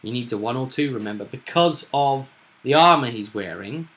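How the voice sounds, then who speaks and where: A man talking casually as a game master, recapping and explaining a tabletop roleplaying session in a halting, thinking-aloud way. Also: mid-range voice, expressive, fairly bright tone.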